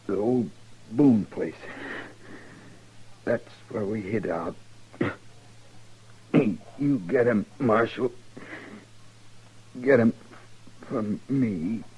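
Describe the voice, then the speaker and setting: An actor playing a bitter, defiant, dying outlaw with a labored, rustic American drawl in an old radio western. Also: male voice, lowish voice, drawn-out words, long pauses, darkish tone, breathy edge, exaggerated voice. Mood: weak, vengeful. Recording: dull, noisy old radio recording.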